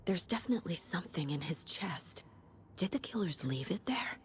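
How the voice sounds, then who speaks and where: Game agent: analytical, composed, lower-pitched female voice, measured delivery over noisy ambience. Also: very animated. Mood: focused, pondering. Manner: measured, matter-of-fact. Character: composed, analytical woman FBI agent investigating a death. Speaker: investigator. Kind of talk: video game acting.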